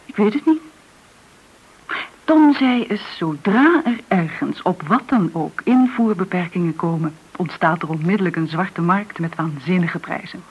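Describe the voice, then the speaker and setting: A woman acting in a radio play as a businessman's confiding friend, tentative and at times soft-spoken. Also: on the low side, unhurried, husky. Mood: uneasy, confiding. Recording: dull, noisy old radio recording.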